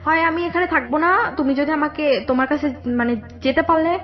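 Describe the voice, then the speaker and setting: High-voiced young Bangladeshi woman, halting storyteller. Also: muffled recording. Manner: halting, rambling, circling back over details. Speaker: guest telling her life story. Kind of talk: conversational personal storytelling.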